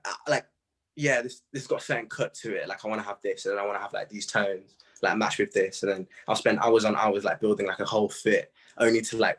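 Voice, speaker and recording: Low-pitched young British man chatting casually. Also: fairly bright tone, breathy edge. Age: young adult. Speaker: music artist being interviewed. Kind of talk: casual interview chat.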